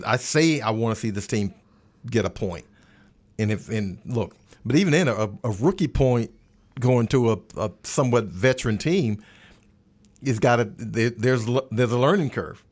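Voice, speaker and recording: A man in a casual sports roundtable, speaking as an analyst and often repeating words as he builds his point. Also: medium pitch. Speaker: basketball radio analyst and panelist.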